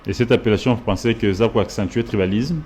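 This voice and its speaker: A man, interviewing a politician with short prompts and measured questions. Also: on the low side, darkish tone, slight background noise.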